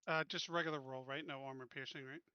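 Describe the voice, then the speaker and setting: A man's voice: mid-range voice, fairly even intonation, quick pace, sharp tone, clean tone, no room sound, muffled recording. A man chatting casually as a player in a tabletop roleplaying game.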